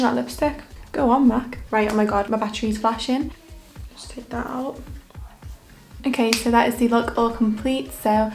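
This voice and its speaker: A young woman makeup artist talking through a casual makeup tutorial in a hoarse, croaky voice, her delivery relaxed and monotone with plenty of fillers. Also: fairly high pitch. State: croaky, hoarse voice after illness. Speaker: makeup artist and beauty vlogger.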